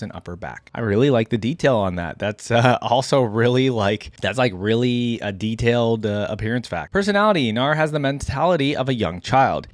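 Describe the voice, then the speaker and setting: A man's voice: medium pitch, dry recording. Casual man reacting, close and dry. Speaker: reaction video host. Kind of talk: casual reaction commentary, reading wiki text aloud.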